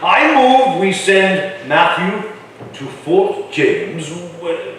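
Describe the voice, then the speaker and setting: A man's voice: high-pitched, sing-song, very slow, fairly bright tone, full character voice. A man playing a stern colonial official with formal delivery in a staged reading of a historical play. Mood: firm. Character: stern colonial men: indignant grandfather leader, curt governor's secretary. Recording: very echoey room, distant, faint noise.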